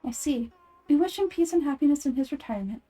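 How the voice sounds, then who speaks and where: A woman voicing a gentle, thoughtful young girl in fan-made fantasy game dialogue. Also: sits high, animated, fast talker, faint music behind. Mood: polite, friendly. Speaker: amateur voice actor.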